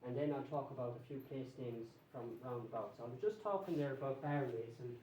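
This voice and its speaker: An Irish man gives a talk to a local history society in a reverberant room, with a faint hiss underneath. His voice is mellow and unhurried. He explains patiently and keeps checking that the audience follows. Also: medium pitch. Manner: conversational, explanatory, checks in with "okay?". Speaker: guest lecturer on place names. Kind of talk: public lecture to a local society.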